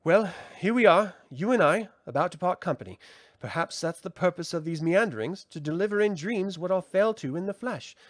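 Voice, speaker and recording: A man voicing a small male Nelwyn wizard who speaks in an earnest, gentle manner. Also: sits high, expressive, fairly quick, dry recording, pitched above the performer's own voice. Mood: tender.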